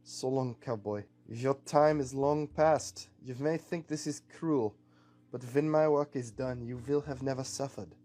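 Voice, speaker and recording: A man's voice: mid-range voice, a little slow, dark tone, close to an everyday voice. Man playing a solemn, sorrowful villain captain. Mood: cold sorrow. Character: grieving, menacing male villain war captain, coldly regretful.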